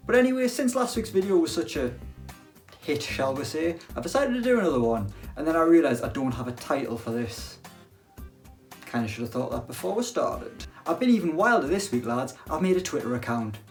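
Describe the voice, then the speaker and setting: A young man chatting about football with a dry, self-deprecating wit. His voice sits a little high and bounces up and down a lot. Faint music plays behind him. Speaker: football presenter. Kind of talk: casual football chat to camera.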